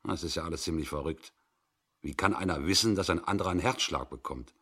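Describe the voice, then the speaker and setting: A man's voice: deep voice, fast talker, fairly bright tone, husky. A man voicing a startled, uneasy railway dispatcher in a 1960s radio reading, shifting between urgent questions and muttered self-doubt. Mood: muttering, uneasy.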